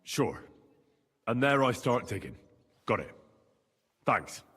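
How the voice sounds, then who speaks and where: An actor playing an earnest young commoner adventurer in a video game, plain-spoken, polite and friendly. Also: male voice, medium pitch, big pitch swings, slow, pushed and bright, breathy edge, heavily acted. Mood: friendly inquiring. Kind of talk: video game dialogue acting. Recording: long reverb, faint game music behind.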